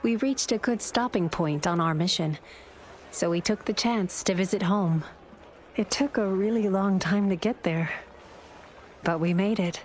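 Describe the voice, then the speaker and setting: An actress playing a friendly young traveling companion in a video game, speaking in a gentle, conversational way. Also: female voice, medium pitch, a little slow, a little hiss or hum, natural voice. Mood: friendly, relieved.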